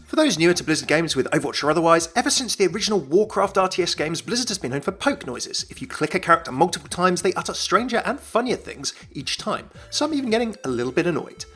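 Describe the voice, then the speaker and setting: A man's voice: sits high, very animated, quick pace, few pauses, fairly bright tone, breathy edge. A man narrating a scripted video game explainer as a YouTube host, enthusiastic and explanatory.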